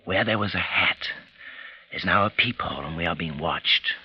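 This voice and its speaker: An actor playing a tense man recounting a night of terror in halting, dramatic phrases, in 1940s radio style. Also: low voice, husky. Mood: hushed urgency. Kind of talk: 1940s radio acting, first-person suspense narration. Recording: muffled vintage 1940s radio recording, music behind.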